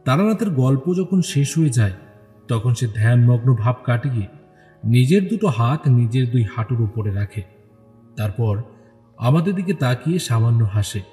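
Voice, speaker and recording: A man's voice: medium pitch, expressive, normal speed, mellow. A man reading scripted story narration as the narrator of an audio drama. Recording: long reverb, music underneath.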